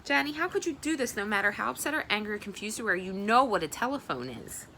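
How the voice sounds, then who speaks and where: A woman doing a tearful frantic mother, pleading, storybook reading, higher and quicker than the narration. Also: lively intonation, sharp tone, a little hiss or hum.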